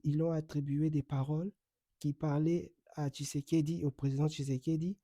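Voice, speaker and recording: A middle-aged man from Congo, Kikongo his first language, giving a measured commentary straight to camera, often pausing with hesitations. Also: fairly high pitch, level tone, mellow, no room sound. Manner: measured, explanatory, with frequent hesitations. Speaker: online commentator and accredited journalist. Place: home in the United States.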